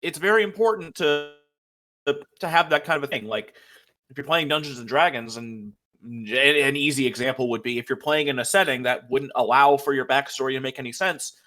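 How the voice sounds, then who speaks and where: A man's voice: medium pitch, a little fast, pushed and bright. A man co-hosting a podcast in casual chat, with noise and clicks in the recording. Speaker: podcast co-host.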